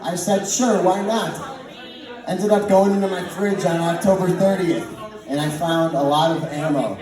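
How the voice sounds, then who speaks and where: A man's voice: high-pitched, a little slow. A young man telling a rambling, informal comedy story to a live audience, often interrupting himself. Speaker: amateur stand-up storyteller. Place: large echoey indoor space with live audience. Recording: echoey room from far off, audience noise. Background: audience laughter and chatter.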